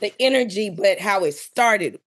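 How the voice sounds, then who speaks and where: A woman's voice: medium pitch, lively intonation, measured pace, a bit bright. A woman in a casual panel discussion, giving opinions and explaining her thinking in an informal way. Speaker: podcast panelist.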